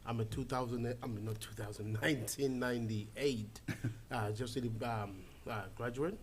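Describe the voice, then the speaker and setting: A man in his forties from Zimbabwe, speaking relaxed and hesitant with many fillers as a school board candidate. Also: mid-range voice, normal speed, a little hiss or hum.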